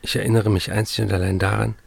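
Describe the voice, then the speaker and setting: An actor playing a restless, addicted male writer who narrates his life and letters in a literary radio drama, his voice gravelly. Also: fairly low pitch, flat delivery, fast talker, dry recording, heavily acted. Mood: wry, hazy.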